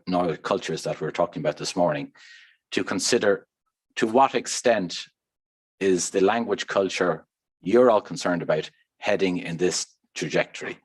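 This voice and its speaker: A man with an Irish accent giving frank, earnest remarks as a researcher on a conference panel, laying out his points one by one. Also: low-pitched. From Ireland.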